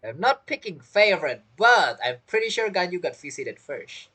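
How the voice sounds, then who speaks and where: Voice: mid-range voice, very animated, normal speed, pushed and bright, slightly rough. A game streamer giving casual, amused reaction commentary in informal, loosely grammatical English.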